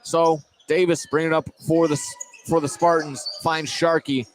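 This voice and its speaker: A man doing live play-by-play basketball commentary on radio, excitable, sometimes tripping over and repeating his words, in a high school fieldhouse. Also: normal speed, slight background noise. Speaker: play-by-play sports announcer. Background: loud thumping music.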